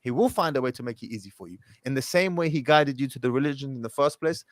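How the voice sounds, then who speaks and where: A man's voice: medium pitch, animated, fast, dry recording. A man hosting a call-in show, giving casual religious advice in an informal, emphatic way peppered with Arabic phrases.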